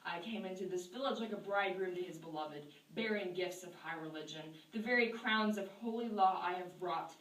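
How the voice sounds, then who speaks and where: A woman's voice: lowish voice, fairly quick, few pauses, a bit bright, lightly acted. A woman playing an anguished male Puritan minister burdened by guilt, earnest and impassioned, in an amateur stage play. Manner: earnest, impassioned preaching delivery. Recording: echoey room, distant mic.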